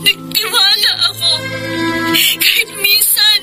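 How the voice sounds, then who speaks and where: Very high-pitched, hurt young Filipino woman. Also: level tone, takes it slowly. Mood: hurt, tearful. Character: tearful, hurt young woman betrayed by her boyfriend. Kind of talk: Filipino radio drama acting. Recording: loud music under the voice.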